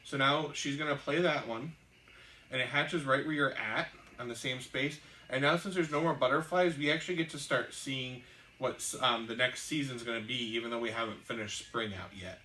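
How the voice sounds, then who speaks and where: A man's voice: medium pitch, moderate pace, sharp tone. A man hosting a board game show, patiently explaining the rules step by step as he plays, with frequent ums. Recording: roomy room, mic at a distance, faint hiss.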